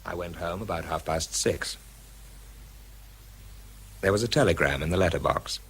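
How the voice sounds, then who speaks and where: A man playing a troubled British scientist who narrates a radio drama in a confiding, measured, husky voice. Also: low voice, a bit bright, a little hiss or hum. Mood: numb, troubled. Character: weary, troubled British male scientist narrating his story. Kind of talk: dramatised first-person narration.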